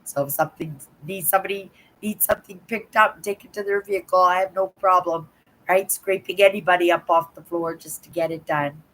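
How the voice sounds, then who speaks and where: Older woman, livestream host, hoarse from a sore throat; rambling chatty delivery, pitched slightly low. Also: fairly even intonation, brisk, fairly bright tone, poor audio. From Canada. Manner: rambling, chatty, keeps adding "right?". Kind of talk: casual live chat to viewers.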